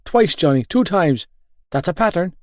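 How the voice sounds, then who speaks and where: A man voicing a loud, comically wounded young man in an audiobook, exclaiming with melodrama. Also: mid-range voice, normal speed, dry recording, clean studio sound, lightly acted. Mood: aggrieved, panicked. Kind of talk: audiobook character dialogue.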